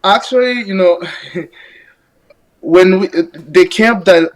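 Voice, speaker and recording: Young man, guest, halting and reflective. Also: high-pitched, a crowd in the background, poor audio. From Burundi. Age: young adult. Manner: reflective, halting, many "you know" fillers. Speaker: podcast guest. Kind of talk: casual conversation.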